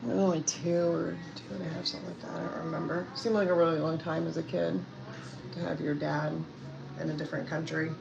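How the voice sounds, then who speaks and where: A woman's voice: low voice, little pitch movement, quick pace, creaky, dull audio. A woman from Pennsylvania chatting casually with viewers at home, rambling and hesitant with lots of fillers. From Pennsylvania, USA. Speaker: self-care coach and vlogger. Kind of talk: casual live chat answering viewers. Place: at home indoors. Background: background music.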